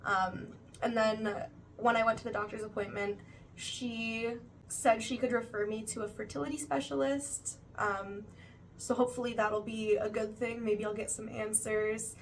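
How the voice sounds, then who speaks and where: A young woman talks through her month in a relaxed, rambling way, leaning on "like" and "you know". Her voice sits a little high and sounds a touch stuffy. The mic is some distance away, with a faint hiss of background noise behind her. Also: moderate pace. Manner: chatty, uses many fillers like "like" and "you know". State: slightly stuffy nose, still recovering. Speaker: vlogger. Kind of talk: casual monthly recap vlog.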